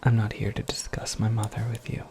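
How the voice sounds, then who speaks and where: A performer voicing an eccentric, absent-minded witch in a breathy, creaky ASMR roleplay, rambling with wry, cryptic politeness. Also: mid-range voice, flat delivery, fairly quick, dark tone, close to an everyday voice. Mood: musing, cryptic. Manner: rambling, whimsical, politely formal, self-correcting. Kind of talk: soft-spoken ASMR roleplay monologue.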